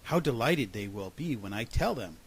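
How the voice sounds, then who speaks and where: A man voicing an excitable, self-important groundhog forecaster in an animal fable, boastful and exclaiming. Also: on the low side, normal speed, slight background noise. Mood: pleased.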